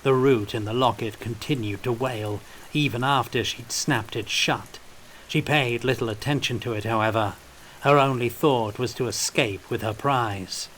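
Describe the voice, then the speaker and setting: A man's voice: medium pitch, normal speed, a bit bright, a little hiss or hum, low-quality recording. A man reading scripted fiction as an audiobook narrator, in a storytelling style.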